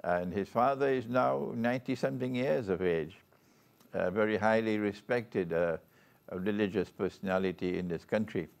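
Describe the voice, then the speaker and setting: An older man with a Trinidadian accent hosts an interview show, speaking in a formal, measured and deliberate manner. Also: on the low side, gravelly. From Trinidad and Tobago. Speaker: talk show host. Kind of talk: formal guest introduction and interview.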